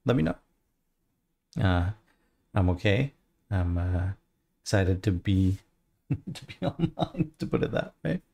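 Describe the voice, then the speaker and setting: A man's voice: sits low, slow pace, vocal fry, long pauses, slightly rough. A man in his thirties with a mixed Australian, Irish and American accent, chatting casually on a livestream and answering viewer questions through a headset mic from a home studio. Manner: relaxed, rambling, answers chat questions. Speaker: livestreamer, 3D artist.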